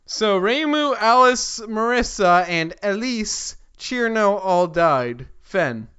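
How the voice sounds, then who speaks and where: A podcast co-host with a bright, sharp voice delivers crude comic banter and readings. The manner is mock-theatrical and drawn-out, slow in pace with big swings of pitch. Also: lively intonation, clean tone, muffled recording. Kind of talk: casual crude comedic banter and dramatized fanfic reading.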